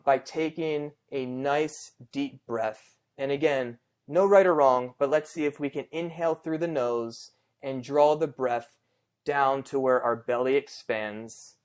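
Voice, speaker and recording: Clear-voiced man gently guiding meditation. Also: mid-range voice. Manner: gentle, reassuring, unhurried with long pauses. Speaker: meditation guide. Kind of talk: guided meditation, conversational and unscripted.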